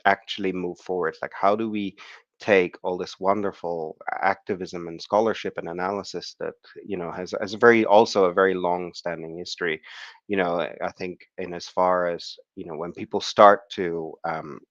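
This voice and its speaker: A man speaking at an online conference, his voice croaky after the flu, hesitant with many fillers and restarts. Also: low-pitched, steady tone, moderate pace, a bit bright, dry recording, dull audio. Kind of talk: academic online talk. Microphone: video call.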